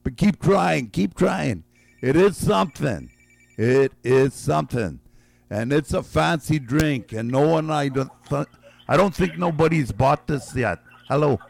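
Slow gravelly man hosting a call-in game. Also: a little high, expressive. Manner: drawn-out, repeats each guess slowly, chuckles. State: losing his voice. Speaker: radio game show host. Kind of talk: call-in guessing game on air.

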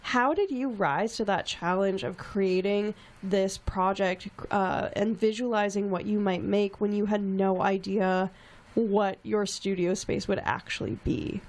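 A woman's voice: medium pitch, fairly even intonation, a little slow, darkish tone. Woman interviewer, friendly, asks long rambling questions, plain mid voice. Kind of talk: conversational interview.

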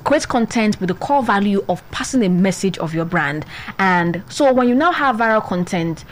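A woman's voice: medium pitch, expressive, normal speed. A young woman with a Nigerian accent, co-hosting and telling a story in a chatty way full of restarts. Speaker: podcast co-host. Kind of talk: casual advice talk.

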